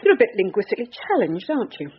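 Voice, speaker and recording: A woman's voice: mid-range voice, big pitch swings, racing, close to an everyday voice. Actress as dogged British female police boss, dry and commanding. Radio drama, dulled sound, light noise. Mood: sardonic, pressing. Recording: hissy, muffled radio broadcast.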